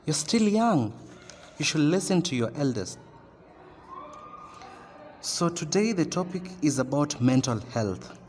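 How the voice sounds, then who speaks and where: A man's voice: fairly high pitch, sing-song, darkish tone. Man acting a stern Kenyan teacher, echoey. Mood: scolding. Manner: admonishing, emphatic, halting delivery. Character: stern, scolding class teacher lecturing students. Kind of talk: amateur radio drama monologue. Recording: noisy, very reverberant room.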